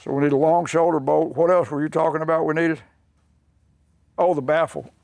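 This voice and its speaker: A man's voice: a little high, level tone, fairly quick, gravelly, darkish tone, dry recording. An older man with a Southern US accent, a mechanic explaining a restoration job in a plainspoken, folksy way. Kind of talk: unscripted workshop explanation. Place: workshop.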